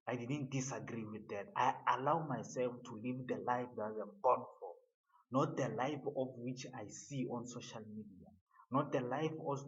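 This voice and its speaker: A man giving an earnest motivational monologue, halting and often repeating his words. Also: mid-range voice, dull audio.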